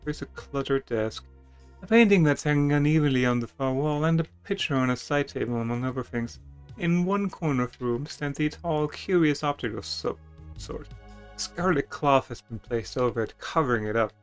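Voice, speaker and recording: A man's voice: mid-range voice, lively intonation, normal speed, clear, resonant tone. A man reading a story game's text aloud in a dramatic style, with casual asides and music behind him.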